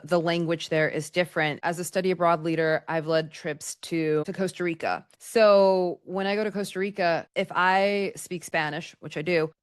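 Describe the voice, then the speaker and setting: A woman's voice: lowish voice, no room sound, studio quality. A woman podcast host explaining ideas in an earnest, conversational teaching monologue, often restarting her phrases. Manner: earnest, conversational, repeats words as she restarts. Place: Texas.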